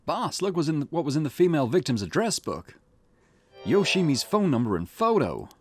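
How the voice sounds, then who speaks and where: A man voicing an eager, excitable junior police detective with urgent delivery in visual novel dialogue. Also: on the high side, brisk, faint music behind, pitched above the performer's own voice. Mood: alarmed.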